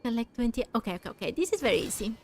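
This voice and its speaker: A woman's voice: a little high, big pitch swings, very fast, a little hiss or hum. A woman hosting a gaming video, commenting casually and thinking aloud as she plays. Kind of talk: casual let's play commentary, reading game text aloud.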